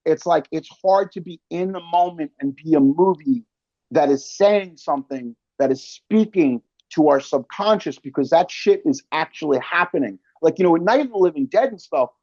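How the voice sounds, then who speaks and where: Higher-voiced American man, casual podcast host. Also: expressive, normal speed, mellow, no echo, muffled recording. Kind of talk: casual conversational interview.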